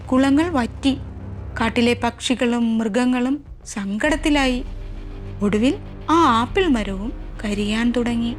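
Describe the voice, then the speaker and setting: A woman storyteller narrating a scripted moral tale for children, expressive and carefully enunciated. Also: sits high.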